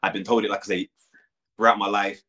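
A man's voice: fairly low pitch, level tone, quick pace, sharp tone. A man in his thirties talking casually as an interview guest, full of filler words. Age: 30s. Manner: chatty, lots of "like" fillers.

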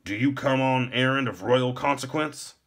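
Man doing a stiff, pompous gate warden, pitched up. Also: moderate pace, a bit bright. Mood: officious. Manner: stiff, formal.

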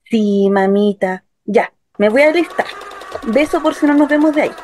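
High-pitched young Chilean woman acted by a woman. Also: animated, moderate pace, darkish tone, slight background noise, close to an everyday voice. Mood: light, chatty. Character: young working-class daughter recounting her assault, wounded, bitter. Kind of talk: dramatic first-person radio narration. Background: music.

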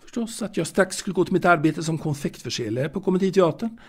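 A man's voice: high-pitched, expressive, a little mellow, breathy edge. A man playing a proud, naive young confectionery seller reminiscing in an intimate audio drama monologue, hesitant and repeating his words. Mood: earnest.

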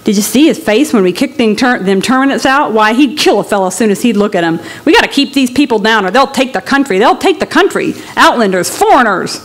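A woman's voice: a little high, animated, brisk, hardly any pauses, close to an everyday voice. A woman voicing a hostile, swaggering deputy sheriff in a literary reading, blunt and contemptuous, with folksy rural wording. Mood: scornful. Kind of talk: storybook villain in a literary reading.

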